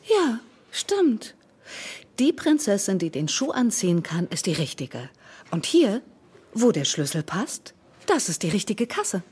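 A friendly, flustered kindergarten teacher in a children's audio play. Her voice is husky and swings up and down as she chats. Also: medium pitch, sing-song, a little slow, a little mellow, heavily acted. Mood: earnest.

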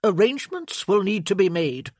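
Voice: medium pitch, big pitch swings, moderate pace, a little mellow, no echo, studio quality. A storybook narrator voicing a puffy, over-eager little lord who prattles on insistently.

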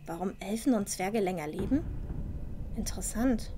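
A woman's voice: medium pitch, lively intonation, moderate pace, background noise, close to an everyday voice. A woman playing a curious, squeamish young apprentice in a fan-made fantasy radio play, thinking aloud to herself. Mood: curious, musing. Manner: thinking aloud, with gasps and sounds of disgust.

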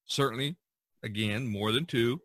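A man's voice: medium pitch, moderate pace, a bit bright, no room sound. A man hosting a casual podcast about art, talking in a rambling, chuckling way. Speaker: podcast host and painter.

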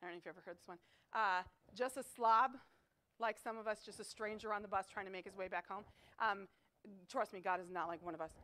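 A middle-aged woman preaching a chapel sermon to students in an auditorium, emphatic and animated, asking rhetorical questions, picked up by a distant camera. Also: mid-range voice, fast talker. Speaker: chapel speaker. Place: school chapel auditorium with stage.

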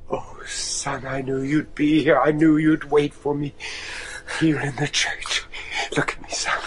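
A man's voice: fairly high pitch, level tone, measured pace, crowd noise, natural voice. An actor playing a devout, anguished older father, a judge desperate to save his son, pleading earnestly and theatrically in a gothic horror radio drama. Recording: noisy radio drama recording.

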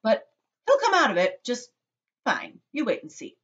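A woman's voice: a little high, wildly swooping pitch, normal speed, a bit bright, dull audio, voice pushed up. A woman voicing an eccentric, gleeful male chocolate-factory owner in a storybook, breezy, emphatic and dismissive. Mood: breezy reassurance.

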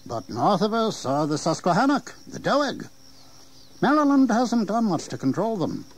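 A man playing a confident, evasive colonial English official in an audio history drama, speaking in measured declamation. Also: sits high, sing-song. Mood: assured. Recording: 1980s audio drama with background noise.

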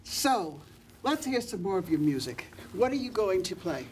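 A woman's voice: medium pitch, very animated, normal speed, a little mellow, a little hiss or hum, poor audio. An older woman interviewing guests as a talk show host in an effusive, welcoming, conversational way. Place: TV studio stage, seated at a desk.

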